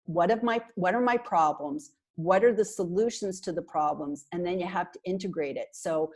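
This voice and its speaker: Woman, middle-aged, husky, lower voice, animated and chatty, earphone mic on a video call. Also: moderate pace. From Canada. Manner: animated, talks with her hands, chatty and emphatic. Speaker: marketing consultant guest. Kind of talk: casual video call interview. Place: small bright room indoors. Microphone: earphone headset on a video call.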